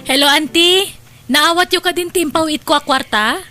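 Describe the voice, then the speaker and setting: A woman's voice: sits high, normal speed, bright, forceful tone, faint music behind. A woman playing a polite young niece from the city in a radio drama, with an Ilocano Filipino accent. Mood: polite, friendly.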